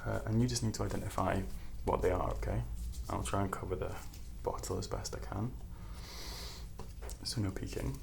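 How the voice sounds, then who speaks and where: A man speaks softly and gently as a doctor in an ASMR medical roleplay, reassuring and unhurried, at times dropping toward a whisper. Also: sits low, fairly even intonation, vocal fry, background noise. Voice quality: soft, hushed, at times whispered. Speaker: ASMR performer playing a doctor.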